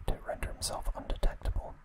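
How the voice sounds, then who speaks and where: A man hosting an ASMR recap, speaking in a soft, breathy, unvoiced whisper with a gentle delivery. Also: fast talker. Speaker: ASMR host.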